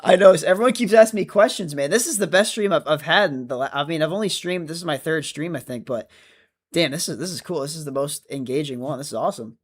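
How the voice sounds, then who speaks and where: Male streamer, 25, casual chatty delivery, slightly raised pitch. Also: quick pace. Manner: chatty, rambling, self-correcting. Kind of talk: casual livestream chat reading comments.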